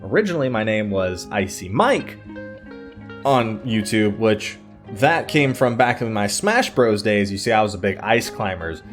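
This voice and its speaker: Lower-voiced man rambling through a story. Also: lively intonation, a little slow, faint music behind. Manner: digressive, hesitant, trailing off and circling back. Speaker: YouTube storyteller. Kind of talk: casual rambling personal storytelling.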